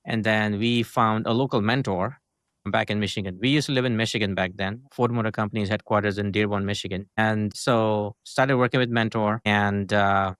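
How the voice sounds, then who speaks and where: A man's voice: fairly low pitch, fairly even intonation, normal speed, fairly bright tone, smooth, no room sound, clean studio sound. A middle-aged man from India with an Indian accent, a guest talking through his story in long, loose sentences full of "right?" and "essentially". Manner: talks in long chains with many "uh", "right?" and "essentially". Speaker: guest, marketing agency founder. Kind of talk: casual interview conversation.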